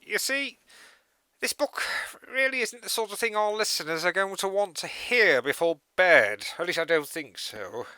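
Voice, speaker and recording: A man's voice: high-pitched, lively intonation, normal speed, sharp tone, no room sound. A man playing a polite, awkward radio producer in a comedy sketch, apologetic and over-explaining. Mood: awkward, tactful.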